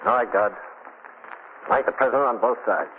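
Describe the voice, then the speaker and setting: A narrow 1940s radio recording with light hiss holds a man playing a prison warden. He is firm, grave and reproving, speaking in measured phrases at an ordinary male pitch. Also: some chatter behind, lightly acted. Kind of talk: 1940s radio drama acting.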